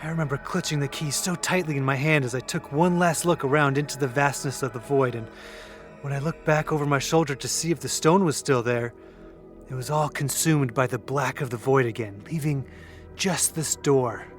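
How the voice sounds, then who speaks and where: A young man acting in an audio drama as a shaken young man who tells of things earnestly, with halting pauses. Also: medium pitch, faint music behind, close to an everyday voice. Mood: awed, haunted. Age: young adult. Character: shaken young man recounting an eerie vision.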